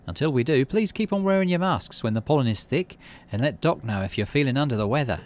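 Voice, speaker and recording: A man puts on an earnest young female colony leader for a sci-fi game. The voice sits raised and lively, with folksy, plain-spoken phrasing. Faint noise sits underneath. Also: mid-range voice, fast talker, voice pushed up, lightly acted. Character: young woman colony councillor, folksy, earnest, slightly nervous.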